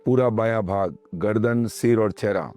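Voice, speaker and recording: Slow, low-voiced man guiding meditation. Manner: calm, measured, short phrases with pauses. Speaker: meditation guide. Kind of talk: guided meditation, slow instructional narration. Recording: voice over background music. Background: meditation music.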